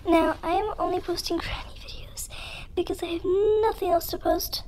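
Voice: fairly even intonation, normal speed, darkish tone, a little hiss or hum. A youthful gamer with a voice-changer effect, giving casual, dramatic and playfully self-deprecating gameplay commentary. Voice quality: high-pitched, youthful voice, altered with voice effects. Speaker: gaming video creator.

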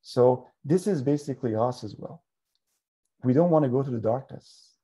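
A man's voice: medium pitch, warm tone, breathy edge. A man, speaking thoughtfully as a guest on a webinar from a room at home over a video call. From Iran. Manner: thoughtful, drawn-out phrasing, tells a parable with voices. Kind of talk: reflective talk on a webinar. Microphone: video call webcam.

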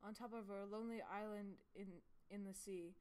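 A proud, welcoming monk from a storybook, read by a woman, explains things to his guests. The voice is clear and smooth, at a medium pitch. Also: steady tone, normal speed, darkish tone, lightly acted.

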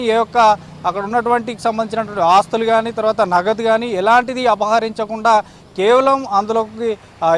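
A man giving an unscripted live field report, halting and repeating himself, with English words mixed in. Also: high voice. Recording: faint outdoor street and traffic noise.

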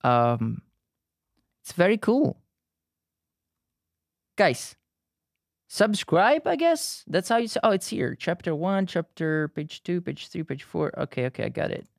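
A man's voice: high voice, big pitch swings, gravelly, no echo, clean studio sound. A man in his thirties with a southern Italian accent, whose first language is Italian, chatting casually on an art live stream and often restarting his sentences. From Italy. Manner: halting, frequent restarts and fillers, friendly. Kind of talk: casual live-stream chat while painting.

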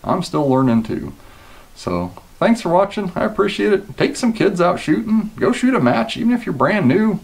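A man in his thirties from Kansas, casually talking to the camera about rifle setups in a relaxed, conversational way, with plenty of ums and you-knows, in a small indoor gun room. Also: high voice, normal speed, creaky. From Kansas, USA. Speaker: competition shooter and channel host. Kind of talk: casual gear talk to camera.

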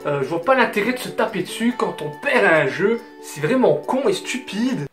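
A man's voice: high-pitched, very animated, moderate pace, fairly bright tone. Teenage Belgian man chatting in a roomy bedroom. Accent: Belgian French, Hainaut. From southern Belgium. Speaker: YouTuber answering viewer questions. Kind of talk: casual chat to camera. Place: small bedroom, indoors. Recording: roomy bedroom sound, music underneath. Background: music.

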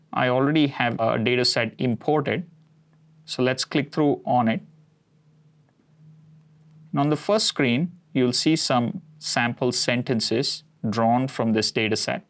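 A man in his forties whose first language is Konkani, presenting a cloud product demo in a steady, explanatory way with occasional fillers. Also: medium pitch. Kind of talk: scripted tech demo narration. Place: studio set with map backdrop.

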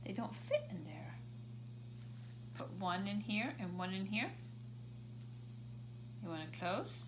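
A woman on the floor of a carpeted living room, speaking slowly to a toddler. She keeps her pitch lively and bouncy, repeating single words and reminding the child to say please. A steady hiss of home-video background noise sits under her voice. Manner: patient, slow, repeats simple words and prompts manners. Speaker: parent playing with a toddler. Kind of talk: coaching a toddler through play.

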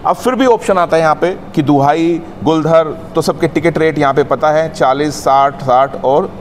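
A man's voice: on the high side, moderate pace. A middle-aged man, explaining things to viewers in a casual, conversational travel vlog. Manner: conversational, demonstrative, addresses viewers directly. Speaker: vlog host. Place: on a moving train and around stations. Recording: clearly noisy train and station ambience. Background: train and vehicle noise.

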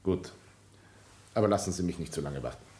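An actor playing a composed, relentless police inspector in a radio drama, speaking formally and insistently. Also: male voice, low voice, expressive, fairly quick, dark tone. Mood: stern, composed. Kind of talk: German radio drama acting.

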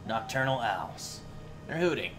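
A man's voice: sing-song, measured pace, music in the background. A man casually voicing lines from a video game on a stream with friends, with computer noise behind him. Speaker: amateur voice actor and game streamer. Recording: noisy home mic with computer feedback. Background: computer noise and low rumble.

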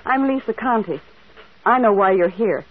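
An actress playing a bitter, defiant daughter in a radio mystery. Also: female voice, mid-range voice, expressive, unhurried. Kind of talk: vintage radio mystery acting. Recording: tinny, narrow-band old radio recording.

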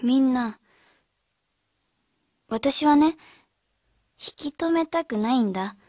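Radio drama role: thoughtful schoolgirl leader, gentle, fairly high, soft-edged, dry studio sound. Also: animated, takes it slowly, no echo, heavily acted. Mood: gentle, reflective. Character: thoughtful, caring high school girl leading her friends.

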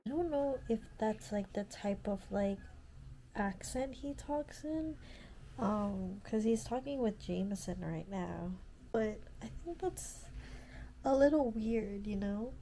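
Teen girl w stuffy allergy nose, rambly chill chat, soft mellow voice, close cam in her bedroom. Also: mid-range voice, expressive, slow, faint music behind, low-quality recording. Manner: chatty, lots of "like" and "um", easygoing. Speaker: vlogger. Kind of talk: casual reading vlog chat. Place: bedroom, indoors. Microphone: camera at close range.

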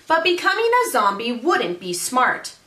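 Female teacher, storybook read-aloud, higher voice, lively intonation, distant mic, roomy sound. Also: normal speed. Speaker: fifth grade teacher reading aloud. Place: small indoor room with brick wall. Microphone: camera a few feet away. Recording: echoey room, mic at a distance.

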